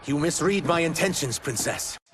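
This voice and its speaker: An actor playing an earnest, noble outcast warrior in a video game, speaking formally and solemnly with defiance. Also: male voice, fairly high pitch, lively intonation, moderate pace, background noise, natural voice. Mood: earnest, defiant. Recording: loud music over the voice.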